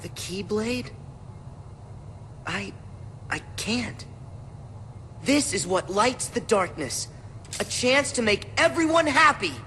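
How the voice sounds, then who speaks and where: A man voicing an earnest, excitable teenage boy hero in a video game cutscene, speaking emphatically. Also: high voice, slow, long pauses, slight background noise, heavily acted. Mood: troubled, earnest. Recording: loud music behind the voice. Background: loud game music.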